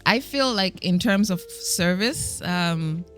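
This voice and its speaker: A woman's voice: lowish voice, animated, takes it slowly, fairly bright tone, faint music behind. A woman chatting casually as the host of a talk show. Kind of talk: casual conversation.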